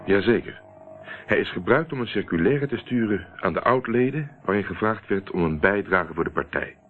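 A shrewd investigator in a radio drama, played by a man, with a very low voice and dry, probing delivery. He teases and presses in a controlled way. The sound is narrow and muffled like an old radio tape. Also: expressive, moderate pace, a little hiss or hum, lightly acted. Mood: probing, firm. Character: shrewd, teasing male investigator, calmly pressing. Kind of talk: radio play acting.

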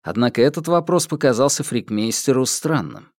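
A man's voice: medium pitch, sing-song, a little fast, darkish tone, no room sound, clean studio sound. A man reading fiction as a scripted audiobook narration.

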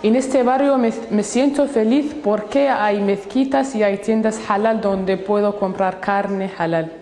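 A woman's voice: medium pitch, steady tone, measured pace, mellow, echoey room, in a large hall, a faint crowd. A woman from the Sahara giving a short, simple testimony.

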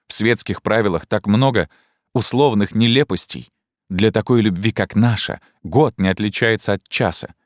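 A man voicing an ardent young gentleman in love, pleading in an impassioned, rhetorical way in a storybook reading. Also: lowish voice, dry recording, clean studio sound, pitched above the performer's own voice, close to an everyday voice.

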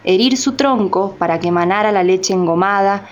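Mid-pitched woman narrator, slightly dull recording. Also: normal speed. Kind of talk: scripted documentary-drama narration. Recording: dull sound with faint noise.

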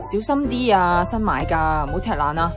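An actress playing a bossy, teasing young flatmate in a Cantonese radio drama, with a Hong Kong accent and a blunt, playful, colloquial way of talking. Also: female voice, on the low side, moderate pace, loud music behind the voice, lightly acted. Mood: breezy.

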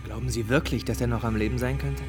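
An actor playing a brooding, haunted son seeking the truth in a German radio drama, introspective and grave. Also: male voice, mid-range voice, steady tone, fast talker, over music, lightly acted. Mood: quietly sceptical.

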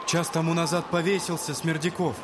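An actor playing a gentle, devout and anxious younger brother, speaking earnestly and soothingly in an audio drama. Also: male voice, on the high side, level tone, fairly quick, noisy background, natural voice. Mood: anxious concern.

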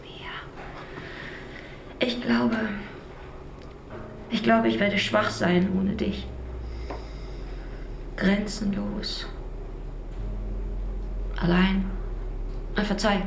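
An actress playing an idealistic, anguished revolutionary wife, fervent and halting, sometimes sinking to a near-whisper in a theatrical drama. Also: female voice, medium pitch, fairly even intonation, echoey room, noisy background. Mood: wounded. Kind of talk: theatrical German stage drama dialogue.

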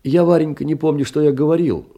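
An actor playing a humble, downtrodden poor man in an audio play, doting and emotional, confessing in halting, self-abasing phrases. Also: on the high side, steady tone, vocal fry, dark tone. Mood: agitated, wounded.

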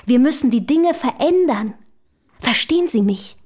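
An actress in a German historical radio drama playing an earnest, outspoken early feminist from the city, speaking formally and rhetorically. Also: female voice, sits high, lively intonation, moderate pace, darkish tone. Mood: fervent, admiring.

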